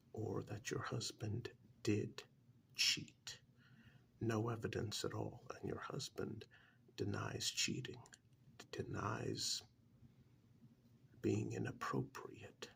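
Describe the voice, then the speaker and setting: A man playing a calm, probing couples therapist in a soft-spoken ASMR role-play, measured and deliberate with a breathy voice, close to the microphone. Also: fairly low pitch, a little hiss or hum, heavily acted. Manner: measured, deliberate, pausing between short phrases.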